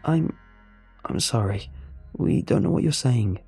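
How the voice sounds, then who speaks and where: A man's voice: fairly low pitch, lively intonation, a little slow, a little mellow, slightly rough, faint music behind, full character voice. A man voicing an earnest human operator on a space mission in a narrated story, speaking in an eager, apologetic, slightly stammering manner.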